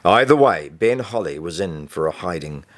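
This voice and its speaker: Deep-voiced man narrating a story. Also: expressive, moderate pace. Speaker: author reading own novel. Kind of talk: scripted audiobook narration, first-person storytelling.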